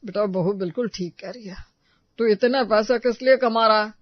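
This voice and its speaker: A man playing disgruntled, fussy men of a household in an animated family story. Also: high voice, moderate pace, dry recording, dull audio, natural voice. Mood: reasoning. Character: disgruntled husband and fussy elderly father-in-law of a household.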